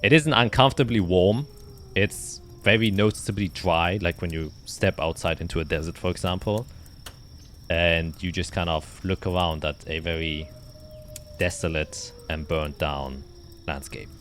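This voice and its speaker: A man improvising narration as game master of a tabletop fantasy game, often pausing to search for his words. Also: low voice, fairly bright tone, a little hiss or hum.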